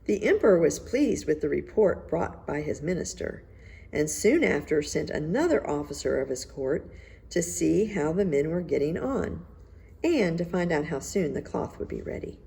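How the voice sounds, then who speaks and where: A woman narrates a fairy tale for listeners in an expressive read-aloud style. Her voice sits a little low and swings freely in pitch, with a slightly husky, creaky edge. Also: moderate pace. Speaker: storybook reader.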